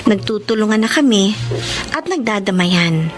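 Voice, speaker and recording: An actress playing a gentle, forgiving young sister-in-law in a Filipino radio drama, earnest and deliberate. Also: female voice, medium pitch, animated, music in the background, natural voice. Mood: calm, reflective.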